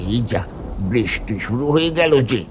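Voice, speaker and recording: A man's voice: medium pitch, lively intonation, moderate pace, background noise, natural voice. A man voicing a brash, sly, greedy village man in a cartoon story. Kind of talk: Bengali cartoon story voice acting.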